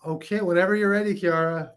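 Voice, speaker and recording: A man's voice: high-pitched, fairly even intonation, quick pace, a bit bright, clean tone. A man hosting a medical case conference on a video call, playful and teasing with the participants.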